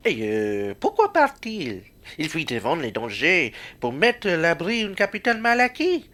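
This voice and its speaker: An actor in a student radio play, playing a pompous, declamatory republican democrat who fancies himself a philosopher. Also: male voice, a little high, huge pitch swings, unhurried, a bit bright, heavily acted. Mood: indignant. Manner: declamatory, theatrical. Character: pompous grandiloquent republican democrat man, self-styled philosopher.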